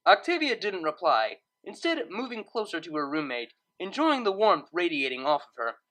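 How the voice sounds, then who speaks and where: A woman reading a story aloud as narrator, in expressive scripted narration. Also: fairly low pitch, normal speed.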